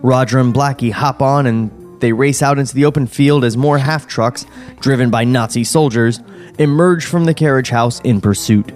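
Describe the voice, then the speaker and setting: A man's voice: medium pitch, normal speed, darkish tone, faint music behind. Man dryly narrating a screenplay. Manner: dry, wry, with chuckles and sarcastic asides. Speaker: podcast host reading a screenplay. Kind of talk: script table read, narrating stage directions. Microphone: studio podcast mic.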